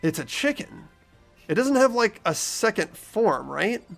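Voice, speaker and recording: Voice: medium pitch, very animated, unhurried, gravelly, faint music behind, pitched above the performer's own voice, full character voice. A performer voicing a wary, bewildered teenage schoolboy who questions things incredulously in a fan-voiced game. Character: bewildered, wary teenage schoolboy protagonist, sarcastic inner narrator.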